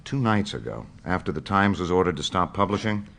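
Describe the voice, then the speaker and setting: An actor playing a wry, careful, determined newspaperman in a naturalistic audio drama, telling things in a measured way. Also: deep voice, sing-song, vocal fry, fairly bright tone, a little hiss or hum, heavily acted. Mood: guarded, confiding.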